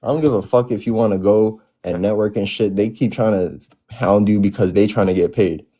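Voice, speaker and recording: A man's voice: fairly low pitch, steady tone, fairly quick, warm tone. A man in his twenties calling in to a livestream, laid-back and slangy, cursing casually. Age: 20s. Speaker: caller. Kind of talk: casual chat.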